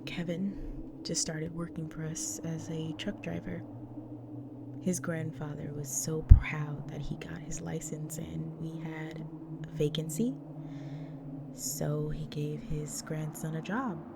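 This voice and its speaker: A woman playing a gentle, protective vampire wife in an intimate audio roleplay, speaking tenderly in a breathy, near-whispered voice. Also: low-pitched, steady tone, exaggerated voice. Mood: somber, gentle. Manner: tender, slow, soothing. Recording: noisy track with loud ambient sound.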